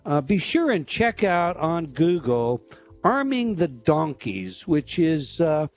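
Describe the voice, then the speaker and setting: Man, radio host, promotional tone, hesitant, slightly high voice. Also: sing-song, takes it slowly, faint music behind. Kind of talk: talk radio hosting.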